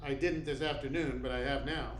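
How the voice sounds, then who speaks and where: A man's voice: medium pitch, steady tone, a little fast, natural voice. A man playing a cocky, hard-boiled private detective in a radio play, brusque and wisecracking. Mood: cool, bargaining. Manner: brusque, wisecracking tough talk. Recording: echoey room with faint noise.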